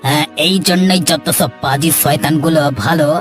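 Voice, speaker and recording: A man's voice: on the high side, normal speed, faint music behind, natural voice. A man voicing an idle, carefree, argumentative village son with a grumbling, dismissive manner in a cartoon. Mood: grumbling, defiant. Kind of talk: Bengali cartoon dialogue.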